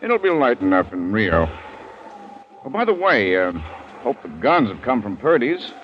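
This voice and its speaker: A slightly noisy, dull vintage radio recording of an uneasy gentleman hunter. The man's lowish voice swings widely in pitch as he muses hesitantly, like a thoughtful companion in a 1940s adventure play. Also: sing-song, a little slow. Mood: musing. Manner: musing, hesitant. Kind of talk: 1940s radio drama acting.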